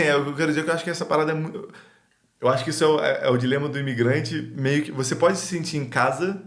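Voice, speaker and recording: A young Brazilian man with a Carioca accent, a podcast guest chatting in a rambling, animated way with many restarts. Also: medium pitch. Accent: Carioca Brazilian Portuguese. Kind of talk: casual chat. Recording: roomy echo.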